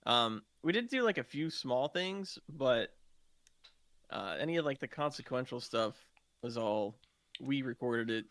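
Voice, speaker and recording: A man's voice: mid-range voice, animated, measured pace, fairly bright tone, no echo. A man talking casually as a guest on a streaming podcast. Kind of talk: casual chat.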